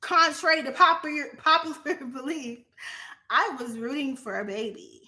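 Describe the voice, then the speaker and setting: A young adult woman vlogging in a casual, profane monologue to her viewers, animated and often laughing. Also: sits high, normal speed.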